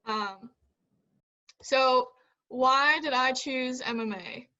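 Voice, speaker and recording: A young woman from South Carolina, a college student, speaking steadily with pauses. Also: sits high, sharp tone, highs cut off. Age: young adult. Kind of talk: casual chat.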